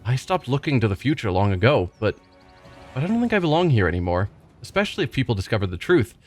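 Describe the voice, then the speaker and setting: A man's voice: mid-range voice, big pitch swings, fast, a little mellow, faint music behind. Man reading as a bitter wounded werewolf. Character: bitter wounded male werewolf loner, wry.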